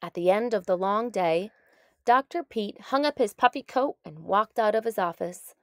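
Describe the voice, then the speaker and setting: A woman narrating a children's story in a deliberate, expressive read-aloud style. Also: medium pitch, no echo, clean studio sound.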